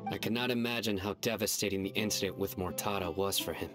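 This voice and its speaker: A solemn young judge speaks in short, measured sentences in a very low voice, as a man voices him. The lines sit in game audio under loud background music. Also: lightly acted. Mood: grave. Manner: grave, measured, terse. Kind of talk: game voice acting.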